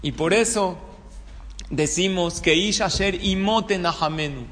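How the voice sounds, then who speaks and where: A man's voice: sits high, unhurried, a bit bright. A Mexican man, a rabbi, giving an informal religious talk in a conversational way, often putting questions to his listeners. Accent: Mexican Spanish. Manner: conversational, checking in with listeners through rhetorical questions. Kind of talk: informal religious sermon with anecdotes. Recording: reverberant hall, clear background room noise.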